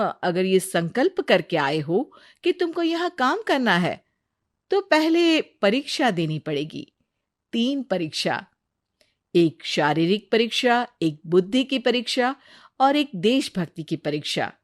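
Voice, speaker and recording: A woman voicing a gracious but firm queen in a story, with a measured, authoritative manner. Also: mid-range voice, very animated. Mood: firm, approving.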